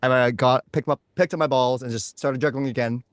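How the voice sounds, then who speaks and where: A man's voice: medium pitch, steady tone, a little fast, dry recording, studio quality. A man chatting casually as an interview guest, effusive and enthusiastic in how he talks. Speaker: guest, voice and screen actor. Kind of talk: casual chat and anecdote.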